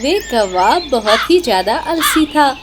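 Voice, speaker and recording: A woman's voice: high voice, big pitch swings, measured pace. A woman narrating a scripted children's story. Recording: clear background noise with animal, bird sounds.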